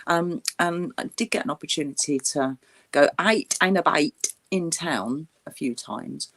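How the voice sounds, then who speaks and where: A woman's voice: deep voice, a little slow, gravelly, fairly bright tone. A British woman co-hosting a casual chat show in a playful, jokey way. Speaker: co-host of an interactive chat show.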